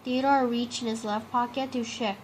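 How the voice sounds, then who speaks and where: A woman's voice: fairly high pitch, normal speed, poor audio. A woman reading a children's picture book aloud in a storytelling style. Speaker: storybook reader. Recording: clear steady background noise.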